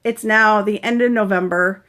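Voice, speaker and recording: A woman's voice: medium pitch, steady tone, moderate pace. A woman in her forties from Canada, a fitness coach talking casually and earnestly to viewers about recovering from a concussion. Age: 40s. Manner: chatty, earnest, breaks off to greet viewers brightly. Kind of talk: casual live-stream vlog, greeting viewers.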